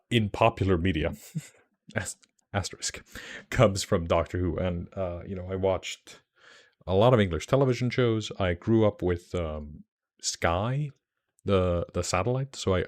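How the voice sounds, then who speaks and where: Low-voiced Icelandic man chatting casually. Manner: rambling, enthusiastic, many fillers and restarts. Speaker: streamer. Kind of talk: casual livestream chat.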